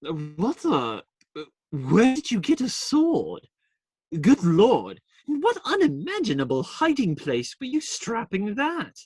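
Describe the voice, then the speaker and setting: A man's voice: sits high, wildly swooping pitch, slow pace, darkish tone, slightly rough, dry recording, heavily acted. A man acting in a radio play as a cheeky, teasing outlaw given to playful, theatrical banter. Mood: cheeky, incredulous.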